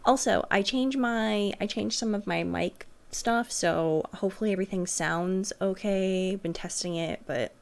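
A woman's voice: mid-range voice, unhurried, vocal fry, few pauses. A young adult woman giving casual, rambling reaction commentary to her viewers as a streamer at home. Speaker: streamer, reaction video host. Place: small furnished room at home.

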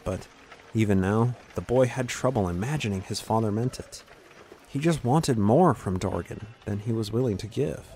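A man narrates a fantasy tale from a script. His voice sits a little low, and there is faint noise in the recording. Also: darkish tone.

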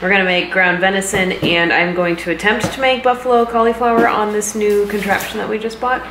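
A woman's voice: medium pitch, steady tone, a little fast. An American woman in her thirties chatting casually and explaining things as a fitness vlogger, recorded on camera at home. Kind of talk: casual vlog chat and explaining. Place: home kitchen, indoors. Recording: roomy kitchen with some background noise.

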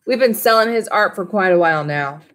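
A woman voicing a gruff, blustering middle-aged male mall owner in a storybook read-aloud. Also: mid-range voice, lively intonation, a little fast, a bit bright, voice pushed up, lightly acted. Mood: eager, boastful.

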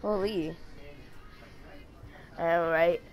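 A woman's voice: fairly low pitch, steady tone, extremely slow, low-quality recording. A young woman chatting casually and teasing a friend while playing a game, laughing often. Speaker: gamer streaming with a friend. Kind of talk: casual gameplay chat.

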